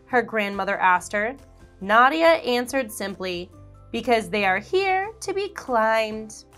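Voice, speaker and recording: A woman reading a children's picture book aloud, slow, clear and expressive, as host of a read-along show. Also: a little high, faint music behind. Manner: animated, slow and clear storytelling.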